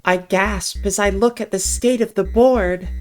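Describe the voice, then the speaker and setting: A woman's voice: medium pitch, fairly even intonation, a little slow, faint music behind. A woman reading a visual novel aloud as narrator, with casual asides.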